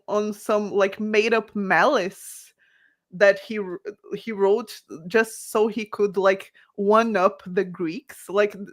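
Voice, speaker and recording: A woman whose first language is Portuguese, chatting casually on a livestream, animated, with many fillers and restarts. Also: medium pitch. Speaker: guest author.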